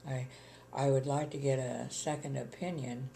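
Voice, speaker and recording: An older woman with a gravelly voice, chatting plainly about her life in an interview, with many ums. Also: very deep voice, steady tone, normal speed, mellow, slight background noise. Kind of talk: casual reminiscing chat.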